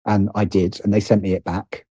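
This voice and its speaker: A man's voice: fairly low pitch, level tone, brisk, mellow, breathy edge, no room sound, studio quality. A British man telling personal stories conversationally as an interview guest, hesitant and often interrupting himself as he thinks aloud.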